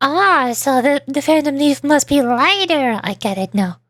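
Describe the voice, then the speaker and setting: A woman's voice: sits high, expressive, measured pace, a bit bright, dry recording, clean studio sound. A woman streamer giving casual, playful, reactive commentary on a game.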